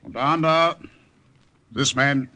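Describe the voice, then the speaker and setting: Suspicious German sentry, sharp forceful bark, radio drama, demanding. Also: male voice, mid-range voice, slow, slight background noise. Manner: barking, demanding. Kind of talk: old-time radio drama acting.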